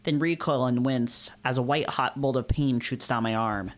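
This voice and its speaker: A man's voice: medium pitch, normal speed. A man hosting a visual novel read-through, moving between narration and casual chat. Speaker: host of visual novel readings.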